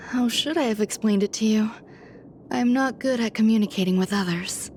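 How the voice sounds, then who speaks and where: An actress voicing an aloof genius woman scientist in a video game, speaking in a measured, formal, detached way. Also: on the high side, a little mellow, a little hiss or hum, close to an everyday voice. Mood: detached, musing. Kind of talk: scripted video game dialogue.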